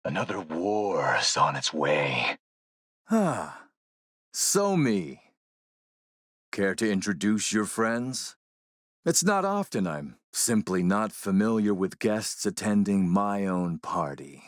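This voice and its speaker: A man playing a suave video game warlord, coolly polite and mocking, with a measured, sardonic menace. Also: deep voice, sing-song, no room sound, studio quality, heavily acted. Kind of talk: video game villain acting.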